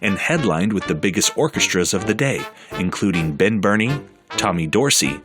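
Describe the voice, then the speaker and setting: A man narrating a documentary in an even, measured scripted delivery. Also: low-pitched, over music.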